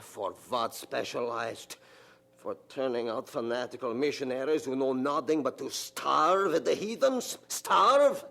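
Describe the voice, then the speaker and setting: Stern old German father, creaky, slow. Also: medium pitch, animated, bright, forceful tone, exaggerated voice. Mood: indignant. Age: older. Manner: emphatic, guilt-tripping, inverted foreign-sounding phrasing. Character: stern, self-pitying old German father, stubborn and grasping. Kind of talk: radio drama acting.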